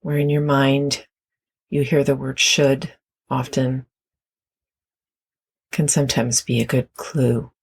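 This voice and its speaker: Slow, husky meditation guide with creaky voice. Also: mid-range voice, flat delivery. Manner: gentle, unhurried instruction with long pauses.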